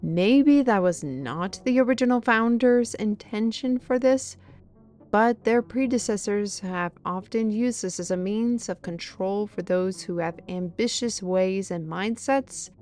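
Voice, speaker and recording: Woman as scheming sorceress mistress, brisk, bossy and theatrical, for fantasy audio drama. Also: medium pitch, darkish tone, close to an everyday voice. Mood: solemn, expository. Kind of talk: audio roleplay acting.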